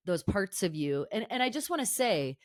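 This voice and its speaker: Chatty woman podcast host, mid-pitch. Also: big pitch swings, moderate pace. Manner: chatty, warm filler-heavy, lots of "like". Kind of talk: casual interview chat.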